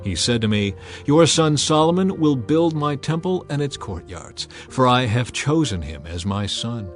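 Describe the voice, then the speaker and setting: A man's voice: medium pitch, expressive, unhurried, lightly acted. A man voicing a solemn aging king who addresses his people in an earnest, oratorical way, in a dramatized scripture reading. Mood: solemn, proclaiming. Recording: music behind the voice.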